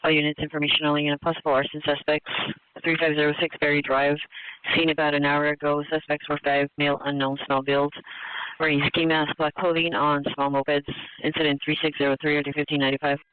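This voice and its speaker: A man's voice: on the high side, fairly quick. Over a police radio, an American man working as a dispatcher reads a clipped, official procedural announcement. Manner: monotone, official. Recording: noisy, narrow-band police scanner radio.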